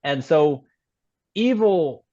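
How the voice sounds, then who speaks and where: Male guest, conversational storyteller with a halting delivery and slightly lower pitch range, on muffled lo-fi audio. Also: lively intonation, a little mellow. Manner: halting, repeats words while explaining. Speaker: interview guest, author. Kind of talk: conversational interview, telling anecdotes.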